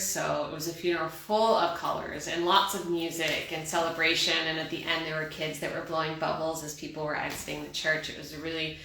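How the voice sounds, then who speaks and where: A woman from Texas with a light Texan accent, hosting a casual solo chat show, chatty and candid and quick to laugh. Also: lowish voice, pushed and bright. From Texas, USA. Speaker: podcast host. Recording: echoey room.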